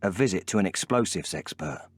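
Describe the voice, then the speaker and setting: A very deep-voiced male narrator for a crime game. He delivers terse, scripted scene captions in a low register. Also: lively intonation, fairly quick, noisy background.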